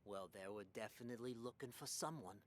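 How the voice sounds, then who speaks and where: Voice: mid-range voice, fast, close to an everyday voice. An actor playing a wary undercover hospital patient on a hunt, in a radio drama. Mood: wary, serious.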